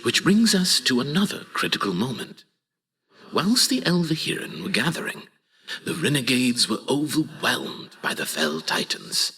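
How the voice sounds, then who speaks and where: A man's voice: mid-range voice, very animated, moderate pace, bright, forceful tone, slightly rough, heavily acted. An actor playing an old male archivist who tells war history in a theatrical, conversational storytelling style for an audio drama. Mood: solemn storytelling.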